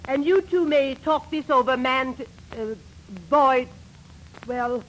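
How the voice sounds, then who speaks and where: A woman's voice: high-pitched, takes it slowly. A woman playing a domineering middle-aged wife and mother in 1940s radio comedy, stern, formal and indignant. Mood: indignant, bossy. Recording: faintly noisy 1940s radio recording.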